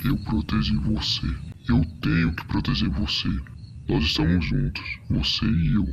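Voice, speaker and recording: Man voicing a low, gravelly monster bear guardian. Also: lively intonation, normal speed, noisy background. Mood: grave, protective. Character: protective animatronic bear, grave and soothing monster.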